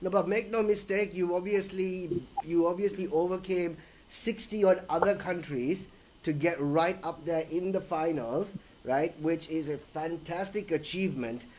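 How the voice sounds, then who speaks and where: A man's voice: high-pitched, measured pace, darkish tone. A man from Sri Lanka hosting a casual podcast interview, chatty and prone to restarts. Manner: chatty, frequent restarts and fillers. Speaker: interviewer host.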